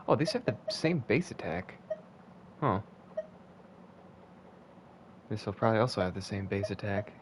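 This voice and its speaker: A man's voice: fairly low pitch, background noise. A man streaming a video game, thinking aloud and swearing now and then as he plays. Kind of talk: casual gameplay commentary. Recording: game music playing behind. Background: game music.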